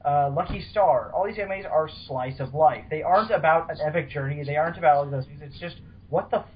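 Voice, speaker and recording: A man with a slightly high voice hosts a casual podcast. He is talkative and explains things informally. The recording is dull and lo-fi. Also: fast, a little hiss or hum. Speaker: podcast host.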